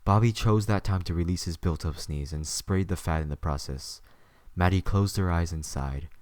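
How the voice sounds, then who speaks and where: Male narrator, deep pitch, dry close recording, scripted audio drama storytelling. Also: moderate pace, a little mellow, dry recording.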